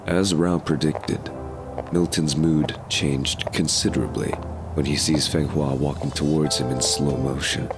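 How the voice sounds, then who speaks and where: A man's voice: very deep voice, fairly even intonation, measured pace, over music. A man reading scripted narration for an audio drama as its storyteller.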